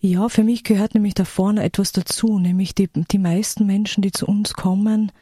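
A woman's voice: mid-range voice, steady tone, moderate pace, mellow, slightly rough, no room sound, clean studio sound. A woman speaking as a guest in a radio interview, explaining her work conversationally.

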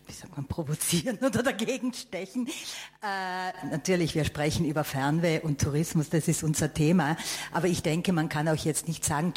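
A woman's voice: sits low, a little mellow, breathy edge. A woman speaking thoughtfully in a casual panel discussion, often stumbling over repeated words. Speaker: panel guest.

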